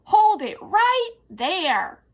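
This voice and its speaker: A woman's voice: very high-pitched, big pitch swings, drawn-out words, pitched above the performer's own voice, full character voice. A woman voicing a bold little female ant in a children's storybook, bellowing in a commanding but friendly way. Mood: commanding, urgent. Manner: roaring, bellowing, emphatic. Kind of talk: children's storybook read-aloud.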